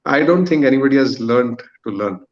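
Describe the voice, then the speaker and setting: A man's voice: medium pitch, animated, brisk. A man in his thirties speaks on dull, lo-fi audio as a podcast guest. He explains in a thoughtful, structured way, often hesitating as he builds his answer. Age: 30s. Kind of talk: thoughtful panel discussion answer.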